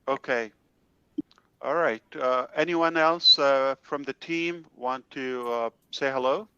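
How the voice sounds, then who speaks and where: A man's voice: medium pitch, slow, bright, forceful tone, clear, resonant tone, no room sound, dull audio. A man hosting an online team meeting in an effusive, welcoming manner on a video call. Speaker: host.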